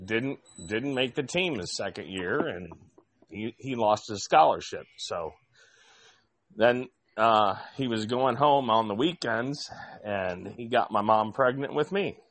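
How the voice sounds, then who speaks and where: A man from Indiana talks in a casual chat, with a voice of ordinary middle pitch. He tells long stories and often restarts his sentences. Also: expressive, measured pace, a bit bright, slight background noise. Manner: rambling storyteller with many restarts.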